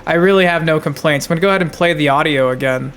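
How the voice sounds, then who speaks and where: A man's voice: fairly high pitch, racing, a bit bright, slight background noise. A man giving casual, hyped gaming news commentary as a channel host, slangy and emphatic.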